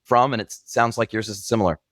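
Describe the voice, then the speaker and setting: A man's voice: on the low side, fairly even intonation, quick pace, fairly bright tone, no room sound, studio quality. A man in his forties with a mixed international accent, hosting a podcast interview in an effusive, enthusiastic way. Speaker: podcast host.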